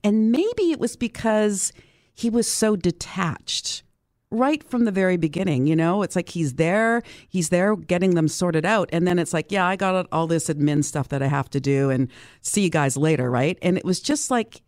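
A woman's voice: on the low side, moderate pace, darkish tone, dry recording, clean studio sound. A woman in a casual book discussion, talking as a club participant and acting out reactions as she retells things.

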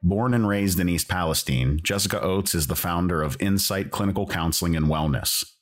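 A man hosting and narrating a documentary podcast in a measured, earnest, scripted delivery. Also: low voice.

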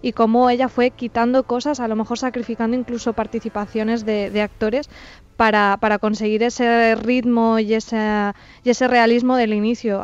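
Spanish lady on a panel, high-ish flat intonation, casual chat, audio a bit dull. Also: clear, resonant tone, dry recording. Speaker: podcast panelist. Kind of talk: casual discussion. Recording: slightly dull, limited-band audio.

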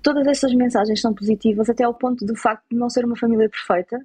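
A woman with a European Portuguese accent talking casually and reflectively, in a halting, thoughtful way. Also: fairly high pitch, fairly even intonation, warm tone, husky. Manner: halting, thoughtful, repeats words.

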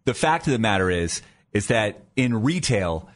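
A man's voice: fairly low pitch, sing-song, normal speed. A man hosting a morning radio talk show in a chatty, conversational way. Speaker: radio host. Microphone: studio mic.

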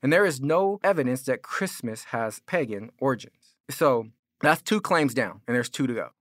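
A man's voice: mid-range voice, animated, normal speed, fairly bright tone, no room sound, clean studio sound. An American man preaching as a pastor, explaining and arguing his points in an informal, conversational way. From California. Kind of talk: sermon, explanatory apologetics teaching.

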